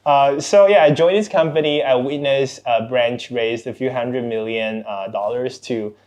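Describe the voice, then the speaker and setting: A man's voice: medium pitch, a little slow, a little mellow. A man speaking casually as a guest in a podcast interview, often restarting his phrases. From born outside Singapore, Chinese cultural background. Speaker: podcast guest, tech executive.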